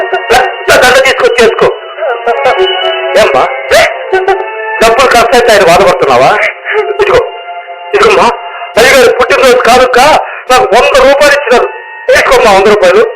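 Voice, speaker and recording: An actor playing a loyal, grieving household servant in a radio drama, with an emotional, pleading, outspoken delivery that repeats phrases. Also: male voice, very high voice, low-quality recording. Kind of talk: Telugu radio drama acting. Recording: radio drama with music behind.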